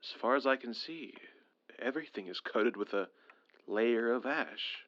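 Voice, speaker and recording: A man's voice: mid-range voice, moderate pace, fairly bright tone, muffled recording, close to an everyday voice. A man playing an anxious, frustrated survivor of an alien invasion, formal and earnest, in an audio drama. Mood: tense, determined. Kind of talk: dramatic audio drama acting with journal narration.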